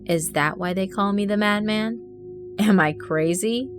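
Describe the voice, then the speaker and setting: A woman voicing a bored young man who turns quietly menacing, speaking flat and slow. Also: medium pitch, pitched above the performer's own voice, lightly acted. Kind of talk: audiobook character voice. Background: music.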